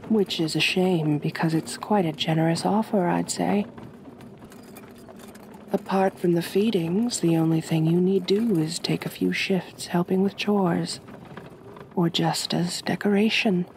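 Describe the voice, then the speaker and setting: Woman doing a soft soothing vampire lady for asmr, lowish voice, kinda possessive, noisy ambience behind her. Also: fairly even intonation. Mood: gentle, reassuring. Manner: gentle, measured, genteel, reassuring. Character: kind, possessive aristocratic female vampire mistress.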